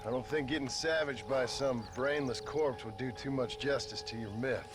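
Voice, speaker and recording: An actor playing a weary, sardonic middle-aged gunslinger in a Western video game, dry and laconic with an American Western accent. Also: male voice, medium pitch, moderate pace, long reverb tail, music in the background, natural voice. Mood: dry, teasing. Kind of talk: video game cutscene acting.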